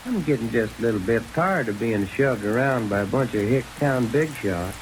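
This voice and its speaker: An actor playing a folksy, hot-headed detective sidekick with a drawl, grumbling in slang in an old radio drama. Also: male voice, mid-range voice, lively intonation, moderate pace, close to an everyday voice. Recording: hissy old radio recording under rain.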